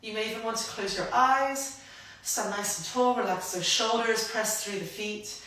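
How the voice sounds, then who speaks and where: A woman guiding a grounding movement practice, gentle and invitational, her voice a little croaky from hay fever. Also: a little high, recorded from a distance. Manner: gentle, invitational, unhurried guidance. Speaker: somatic practice guide. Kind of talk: guided movement instruction. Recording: roomy echo, voice from afar.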